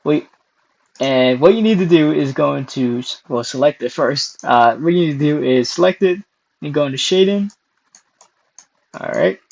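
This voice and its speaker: A young man hosting a casual screen-recorded software tutorial, hoarse and losing his voice, clearing his throat often between steps. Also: fairly high pitch, normal speed, long pauses, muffled recording. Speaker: tutorial host.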